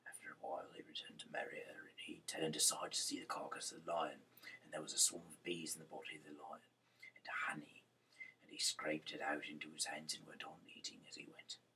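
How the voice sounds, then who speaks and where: Very deep, gravelly man reading haltingly. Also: monotone, bright, forceful tone, whispered, distant mic, rough recording. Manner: halting, stumbling delivery with restarts. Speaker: reader, host of a Bible-reading series. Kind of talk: amateur scripture read-aloud.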